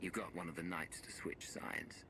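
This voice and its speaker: An actor playing a pragmatic, calm sci-fi hero in a video game, with a dry, level-headed delivery. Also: male voice, deep voice, normal speed. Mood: dry, determined. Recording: very noisy battle-filled game audio.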